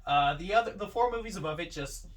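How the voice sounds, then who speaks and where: A man chatting casually as a podcast co-host, ranking films in a halting way with many filler phrases. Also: high-pitched, animated. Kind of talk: casual film ranking chat. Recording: distant mic with faint background noise.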